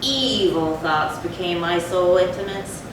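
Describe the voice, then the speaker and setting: A woman playing a guilt-tormented narrator of a gothic horror tale in a deliberate, theatrical stage recitation. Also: lively intonation. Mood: anguished. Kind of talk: dramatic readers-theatre recitation of a gothic story. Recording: very echoey stage, distant mic, noisy rumble.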